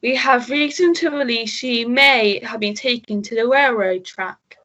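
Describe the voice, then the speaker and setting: A woman playing a commanding, bossy male police sergeant with deliberate delivery in a radio melodrama. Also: fairly high pitch, pushed and bright, muffled recording. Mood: pondering, dutiful. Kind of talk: melodramatic radio play acting.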